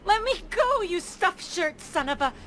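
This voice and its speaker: A woman's voice: very high voice, big pitch swings, a little slow, a bit bright, a little hiss or hum, full character voice. An actress playing a defiant, exasperated young elf woman with a fiery, forthright manner in a fantasy game. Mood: furious.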